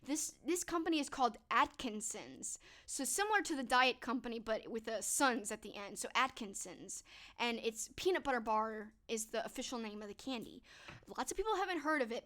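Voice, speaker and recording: A woman's voice: fairly high pitch, bright, forceful tone. A young woman streamer chatting casually with her viewers, upbeat and full of "like" and "um", her voice worn and fading. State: losing her voice. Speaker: streamer answering viewer questions. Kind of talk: casual chat to a live stream.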